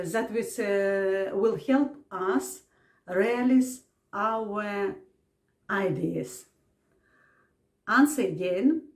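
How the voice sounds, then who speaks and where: Halting woman, slow and echoey. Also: mid-range voice, dark tone. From Turkmenistan. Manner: halting, with many fillers. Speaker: project participant. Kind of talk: video testimonial. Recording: echoey room.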